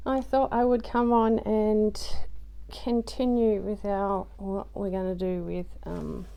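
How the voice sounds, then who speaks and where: Chatty gravelly-voiced woman crafter. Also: medium pitch, little pitch movement, slow, muffled recording. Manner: chatty, rambling, many ums, self-deprecating. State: voice just recovered from loss. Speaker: craft journaling vlogger. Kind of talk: casual crafting tutorial.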